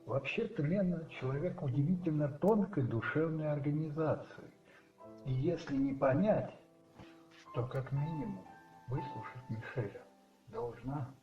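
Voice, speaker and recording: A man narrating a radio play as dramatic literary storytelling, musing and rhetorical, with dramatic pauses. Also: medium pitch, expressive. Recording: roomy, slightly muffled, with music behind.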